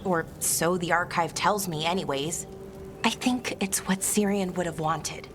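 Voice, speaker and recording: Young female elf scholar for a game, played by an actress: earnest, grieving, rambles and corrects herself, clear music underneath. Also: lowish voice, a little slow, lightly acted. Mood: solemn, grateful. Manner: rambling, hesitant, self-correcting. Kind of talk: video game dialogue acting.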